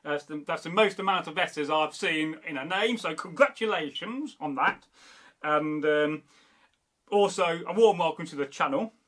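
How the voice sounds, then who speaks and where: A man's voice: on the high side, normal speed, fairly bright tone. A man from Derby, chatting loosely as a history channel host, reading viewer comments and rambling with lots of fillers. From Derby, England. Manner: rambling, meandering, many fillers and restarts.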